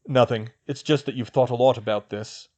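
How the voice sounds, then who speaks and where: A man's voice: mid-range voice, fairly even intonation, a little fast, dark tone, close to an everyday voice. A man voicing a modest teenage boy who speaks plainly and hesitantly. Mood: earnest.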